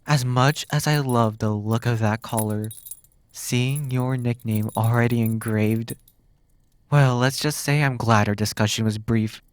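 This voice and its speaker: A man playing a flirty, teasing demon captor in an ASMR roleplay, musing in drawn-out phrases. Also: mid-range voice, steady tone, clean tone, no echo, close to an everyday voice. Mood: musing, probing. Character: flirty, teasing male demon captor, smug then exasperated.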